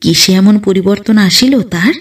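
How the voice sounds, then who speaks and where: A woman reading a literary story aloud in an expressive, dramatic, emotive manner. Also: mid-range voice, a little slow, far from the mic. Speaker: audio story narrator.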